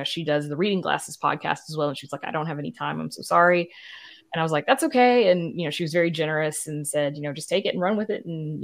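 A woman, a podcast creator, telling her story casually as an interview guest. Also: low-pitched.

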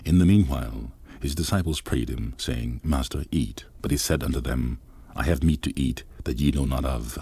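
A man with a gravelly, husky voice reading scripture aloud in archaic wording. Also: very deep voice, moderate pace, warm tone. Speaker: Bible reader.

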